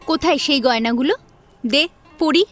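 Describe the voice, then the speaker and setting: A woman's voice: high-pitched, normal speed, faint music behind. A woman playing a spirited, modest young woman in an audio story, affectionately scolding and teasing. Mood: mock-scolding. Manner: teasing, expressive. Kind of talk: Bengali audio story acting.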